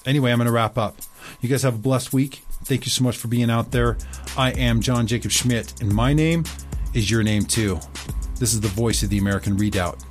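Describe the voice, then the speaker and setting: A man hosting his own commentary show, talking emphatically, slowing to stress key words and dropping low at the ends of his points. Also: fairly even intonation, faint music behind. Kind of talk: opinionated commentary reading excerpts aloud.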